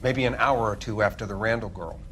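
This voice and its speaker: A man's voice: fairly low pitch, a little fast, a bit bright, slight background noise, natural voice. An actor playing a dry, wry middle-aged male coroner in a video game, talking in a matter-of-fact, clinical way.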